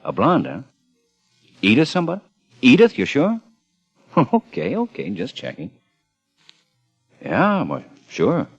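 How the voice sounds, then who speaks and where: An actor playing a dogged, weary American police lieutenant in 1950s radio crime drama, with clipped, terse questioning. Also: male voice, mid-range voice, wildly swooping pitch, unhurried, long pauses, slightly rough, exaggerated voice. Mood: businesslike. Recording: dull old radio recording, music behind.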